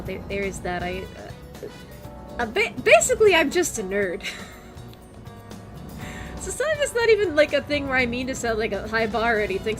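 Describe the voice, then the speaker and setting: Chatty American woman with a strained voice. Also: fairly high pitch. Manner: rambling, chatty, many fillers. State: strained, worn-out voice. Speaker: vtuber streamer. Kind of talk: casual chat while gaming.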